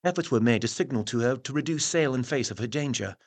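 An actor playing a formal Victorian newspaper correspondent, narrating a report in a measured way for an audio drama. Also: male voice, medium pitch, natural voice. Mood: grave, formal. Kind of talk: scripted period news narration.

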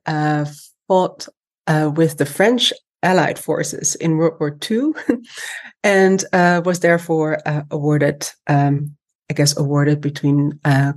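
Woman in her 40s, podcast guest, low voice, measured and pausing as she explains stuff. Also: a little mellow. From Amsterdam, Netherlands. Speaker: podcast guest, human rights lawyer. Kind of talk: conversational personal account in an interview.

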